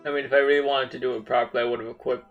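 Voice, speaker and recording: A man's voice: mid-range voice, flat delivery, very fast, phone-quality sound, faint music behind. A man streaming an online role-playing game with casual, muttering commentary and occasional swearing. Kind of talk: casual gameplay commentary, reading game dialogue aloud.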